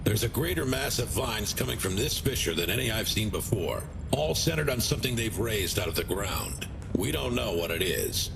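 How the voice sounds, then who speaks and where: An actor playing a gruff, urgent male general giving dramatic briefings in a military strategy game, with an emphatic, commanding manner. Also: fairly low pitch, expressive, moderate pace, background noise. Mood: grave, intent.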